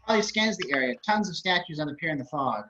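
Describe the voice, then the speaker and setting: A man's voice: on the high side, lively intonation, fast, noisy background. A man reading scripted action narration and stage directions over a video call. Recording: dull video-call audio.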